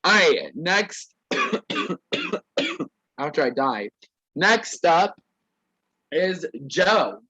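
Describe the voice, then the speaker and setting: Sassy slow-talking man hosting a video call. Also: fairly high pitch, big pitch swings, sharp tone, slightly rough, no room sound, rough recording. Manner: playful, sassy catchphrase "period". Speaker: host of an online reality game. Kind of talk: casual video call introductions.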